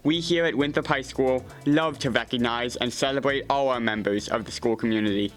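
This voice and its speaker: A teenage boy presenting a segment on a school news show, reading a steady script. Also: medium pitch, a little fast, soft background music, low-quality recording. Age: teens.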